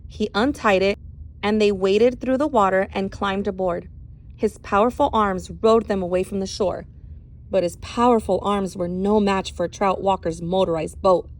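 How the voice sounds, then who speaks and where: Female read-aloud narrator, teacher type, mid-range pitch, expressive storytelling delivery. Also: normal speed. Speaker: teacher reading a novel aloud.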